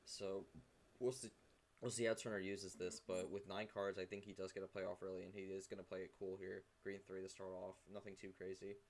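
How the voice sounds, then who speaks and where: Young guy with a low flat voice doing laid back play-by-play, lots of ums. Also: a little fast, slight background noise. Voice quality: low, fairly monotone voice. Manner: relaxed, hesitant, many fillers. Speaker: play-by-play commentator. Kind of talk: online game commentary.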